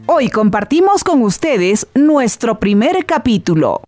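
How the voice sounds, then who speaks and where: A woman's voice: on the high side, very animated, unhurried. A woman giving a scripted radio introduction as announcer. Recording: music behind.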